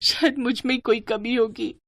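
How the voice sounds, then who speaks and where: Lady playing sweet dutiful young bhabhi type, meek n polite, highish voice, story drama. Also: fairly quick, no room sound, lightly acted. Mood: sad. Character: gentle, dutiful, wronged young daughter-in-law.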